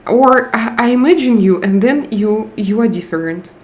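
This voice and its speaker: A woman with a Russian accent chatting casually on a live stream, reading out viewer comments and hunting for English words with frequent pauses. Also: on the high side, a little mellow. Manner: slow, searching for English words with frequent hesitations.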